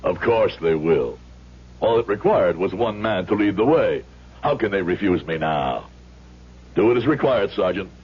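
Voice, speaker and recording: An actor playing a proud, principled immigrant shoemaker in a radio drama, speaking formally and emphatically. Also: male voice, sits low, lively intonation, a little hiss or hum. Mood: indignant. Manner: formal, emphatic, deliberate speech. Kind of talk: old-time radio drama acting. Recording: muffled old radio recording.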